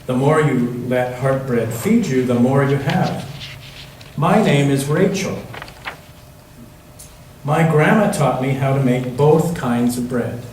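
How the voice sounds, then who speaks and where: A man reading a picture book aloud to children in a church, pausing to put questions to them. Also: medium pitch, a little mellow. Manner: pauses to ask the listening children questions. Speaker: church children's story reader. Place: large echoey church interior. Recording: very echoey church room, distant mic, faint noise.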